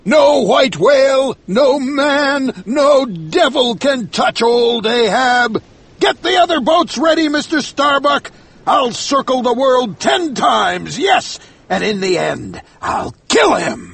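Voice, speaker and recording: A man reading a storybook and voicing an obsessed, vengeful old sea captain who shouts his lines slowly and defiantly. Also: extremely high pitch, animated, gravelly, slightly rough, voice pushed up, full character voice. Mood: raging.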